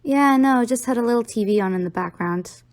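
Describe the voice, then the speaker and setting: A woman's voice: fairly high pitch, a little fast, darkish tone, dry recording, close to an everyday voice. Woman acting as a teasing college girlfriend, dry recording. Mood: playful. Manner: playful, teasing. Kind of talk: audio roleplay, addressed to the listener.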